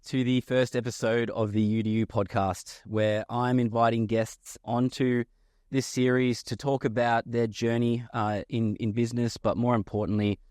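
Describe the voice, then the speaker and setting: Lowish-voiced male podcast host. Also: a little mellow, no room sound, clean studio sound. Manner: friendly, halting, repeats words while asking questions. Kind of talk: hosting a podcast interview.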